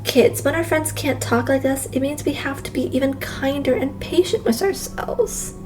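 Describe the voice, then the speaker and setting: A woman's voice: high-pitched, normal speed, a little mellow, close to an everyday voice. A woman voicing a chirpy, kind children's show hostess, speaking simply and sweetly to young viewers in a visual novel. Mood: gentle, kind.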